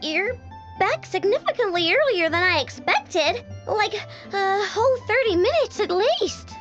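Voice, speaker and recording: A woman's voice: very high voice, very animated, measured pace, a bit bright, highs cut off, exaggerated voice. A woman voicing a bossy, stubborn young girl inventor in a video game, with a childish manner. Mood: nervous. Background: game music.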